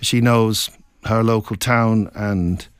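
A middle-aged man from Scotland, an author being interviewed, talking in a reflective, meandering way with halting restarts. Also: on the low side, fairly even intonation, smooth, no room sound, clean studio sound. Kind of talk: casual interview chat.

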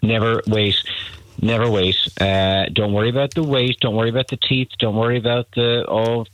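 A man's voice: medium pitch, steady tone, normal speed, fairly bright tone, dry recording. A man giving blunt, emphatic dating advice on a radio call-in, often repeating himself. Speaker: matchmaking agency guest. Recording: tinny phone line, dry.

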